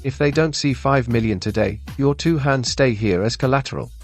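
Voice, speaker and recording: An actor playing a menacing loan shark enforcer who makes threats in a melodramatic audio drama. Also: male voice, medium pitch, moderate pace, music in the background, close to an everyday voice. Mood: threatening.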